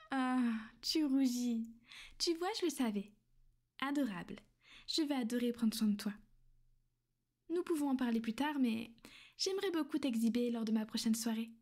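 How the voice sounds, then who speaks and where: A woman voicing a gentle, possessive female vampire in an ASMR roleplay. She speaks softly and intimately, often in a half-whisper, coaxing and reassuring. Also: a little high.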